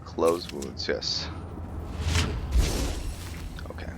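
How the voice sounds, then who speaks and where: A man's voice: very deep voice, very slow, very noisy. A man giving casual commentary on a roleplay video game, thinking aloud to himself as he plays. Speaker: gamer hosting a roleplay playthrough.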